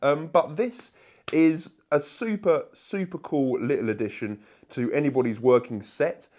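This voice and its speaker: A hoarse man, chatting upbeat to camera in a casual review vlog, with lots of fillers. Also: fairly high pitch, a little slow, a little mellow. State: hoarse from a cold. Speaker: magic product reviewer.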